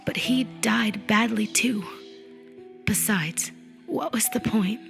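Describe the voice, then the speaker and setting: A woman narrates a literary audiobook in a deliberate, expressive storytelling manner, with phrase endings that drop to a hush. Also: fairly high pitch, creaky. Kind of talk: dramatic literary audiobook reading. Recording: musical effects mixed under the voice. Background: music and effects.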